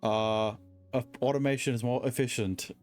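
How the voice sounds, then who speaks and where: A man's voice: medium pitch, steady tone, moderate pace, a little mellow, soft background music. A man streaming a video game, giving casual, joking reactions in a hesitant, stammering way.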